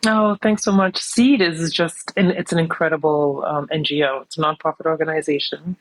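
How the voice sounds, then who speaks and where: A woman's voice: deep voice, fairly even intonation, normal speed, no echo, rough recording. Woman, podcast guest, chatty and reflective, lots of "you know", dead dry recording. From New York City, United States. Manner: reflective, thoughtful, many "you know" fillers. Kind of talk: casual conversational interview.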